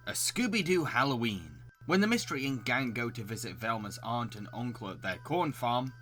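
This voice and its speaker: A man delivers scripted review narration in a very bright, forward voice at an ordinary pitch. His delivery is enthusiastic and recommending, the manner of a video host. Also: expressive, normal speed, faint music behind.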